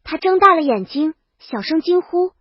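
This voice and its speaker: A woman's voice: very high voice, expressive, normal speed, no room sound, highs cut off. A woman reading a scripted first-person comic story as its brash, exasperated heroine. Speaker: audio story narrator.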